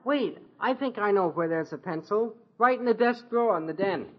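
A muffled vintage radio recording of a work-shy teenage son. The voice is high and boyish, bouncing around in pitch with an easygoing, slangy delivery. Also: male voice, expressive, moderate pace. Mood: eager. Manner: boyish slangy drawl, eager to dodge work. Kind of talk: 1950s radio sitcom acting. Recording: dull old radio broadcast recording.

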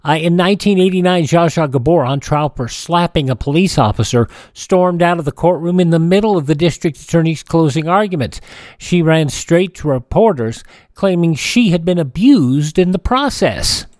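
An American man hosting a radio show, reading a history rundown informally, with halting asides. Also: on the high side, expressive, darkish tone, dry recording. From Kansas, United States.

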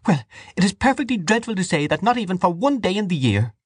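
Man acting a mother, reproving, pleading, higher pitch, novel dialogue. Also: big pitch swings, fast, slightly rough, no room sound, studio quality, exaggerated voice. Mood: reproachful. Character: distressed, reproving wife and mother pleading for peace.

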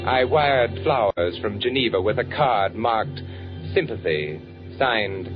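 Haunted assassin on the run telling his story, swingy dramatic pitch, muffled old radio w/ music. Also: expressive. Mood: measured, grave. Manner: clipped, deliberate, dramatic storytelling. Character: haunted male fugitive assassin narrating his own story. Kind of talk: 1940s radio acting, first-person narration. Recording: muffled vintage radio broadcast.

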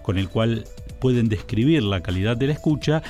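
An Argentine man presents a radio program at a studio microphone. His pitch sits in the middle range, and his delivery is formal and measured, like a seasoned announcer. Faint music plays quietly underneath. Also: lively intonation. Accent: Argentine Spanish. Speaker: radio host. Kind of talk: radio talk show presenting.

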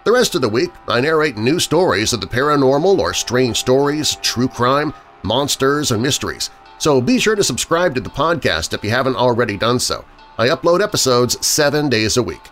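A man's voice: mid-range voice, lively intonation, normal speed, a bit bright. A man hosting a podcast, reading a scripted outro. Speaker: podcast host. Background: music.

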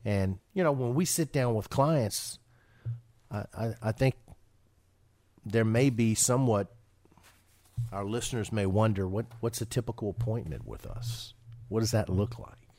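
A man's voice: on the low side, a little slow, long pauses, a little mellow. A man hosting a financial radio show, talking casually and often restarting his sentences with repeated words.

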